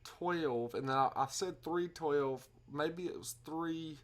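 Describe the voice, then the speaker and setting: A man's voice: fairly high pitch, slow. A man with a Southern US accent playing a folksy, muddled hotel guest who rambles in double-talk on a prank phone call. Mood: muddled, rambling. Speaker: prank caller.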